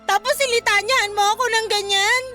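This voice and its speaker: A very high-pitched, scolding Filipino wife. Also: female voice, steady tone, fairly quick, sharp tone. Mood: hurt, reproachful. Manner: scolding, insistent, blunt. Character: angry, reproachful young wife and mother. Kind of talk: Filipino radio drama acting. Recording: music bed behind the voice.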